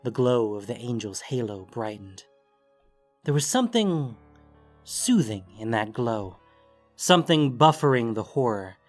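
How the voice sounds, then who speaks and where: A man reads a dramatic fantasy tale as a solemn narrator. His pitch sits in the middle of the male range and his phrasing is deliberate. Music plays underneath his voice. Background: background music.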